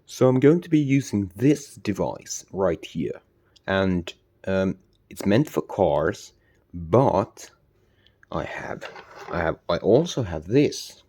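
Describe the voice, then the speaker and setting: A man's voice: deep voice, sing-song, slow, warm tone, husky. A man hosting a DIY video, giving a casual demonstration and often hesitating as he searches for words.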